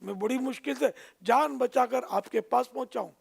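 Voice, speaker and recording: Man as a high-voiced clever little rabbit. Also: moderate pace, vocal fry, breathy edge, heavily acted. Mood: pleading. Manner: respectful, pleading, persuasive. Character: clever little male rabbit, deferential trickster.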